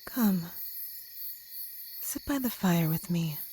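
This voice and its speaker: A woman playing a kind, protective traveller in a gentle ASMR comfort roleplay, speaking softly with some whispering and reassuring slowly. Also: lowish voice, expressive, slight background noise. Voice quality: soft, partly whispered. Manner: gentle, slow, soothing, reassuring.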